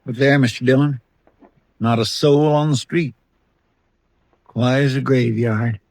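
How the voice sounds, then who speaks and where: A folksy deputy type with a mid-pitched male voice and a rural American accent, played by an actor. He speaks plainly and deferentially, as in an old-time radio western. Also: steady tone, measured pace, a little mellow, no room sound, natural voice. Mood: uneasy, musing. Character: loyal, folksy, deferential marshal's sidekick.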